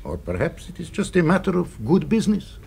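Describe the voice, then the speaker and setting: An actor playing an effusively courteous, secretive restaurant proprietor in a 1970s radio drama, husky and given to ending remarks with "eh?". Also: male voice, a little high, big pitch swings, brisk, a little mellow, exaggerated voice. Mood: suave, secretive. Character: unctuous, secretive male restaurant proprietor, darkly philosophical.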